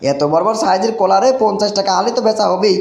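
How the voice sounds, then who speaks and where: A man voicing a brash, excitable young villager in a comic cartoon, blustering and repeating himself in a dialect. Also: sits high, quick pace. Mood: grumbling, scheming. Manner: exclamatory, repetitive, blustering. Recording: roomy echo, clearly noisy background.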